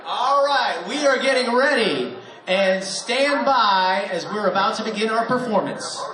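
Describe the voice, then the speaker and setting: A high-pitched man talks playfully to a live audience from a stage, coaxing them to cheer louder. His voice rings through an echoey hall. The crowd murmurs and claps behind him. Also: expressive, unhurried. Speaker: host of a live radio theater show. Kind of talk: warm-up talk to a live audience. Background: audience noise and applause.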